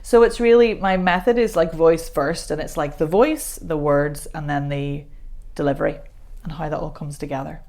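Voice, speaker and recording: Woman, 40s, voice coach, chatty, laughs easily, slightly low pitch. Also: fairly even intonation, moderate pace, a little mellow. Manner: chatty and self-deprecating, laughs often. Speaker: voice coach guest. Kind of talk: casual podcast conversation.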